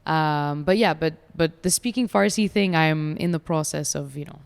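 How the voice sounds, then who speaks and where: A woman in her twenties, raised in France and Dubai, guest on a chat podcast, talking reflectively and often pausing to search for words. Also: low-pitched, vocal fry, clear, resonant tone, big-room sound. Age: 20s. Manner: reflective, searching, many fillers.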